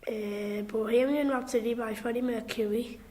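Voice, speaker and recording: A woman's voice: a little high, steady tone, moderate pace, mellow, lightly acted. A woman playing a hesitant, earnest young candidate in a radio drama, giving short and unsure answers. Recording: roomy, echoing radio drama sound.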